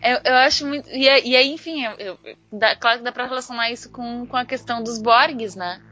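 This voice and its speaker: A Brazilian woman chatting informally as a podcast panelist, explaining ideas in an animated, slangy way. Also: on the high side, brisk. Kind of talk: casual discussion of ideas. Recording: dull lo-fi online audio, music underneath.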